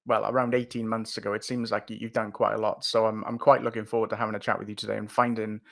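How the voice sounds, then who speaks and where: A man's voice: on the low side, level tone, fast talker, gravelly, fairly bright tone. A man hosting a podcast interview, talking in a friendly, loose way with small restarts. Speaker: podcast host.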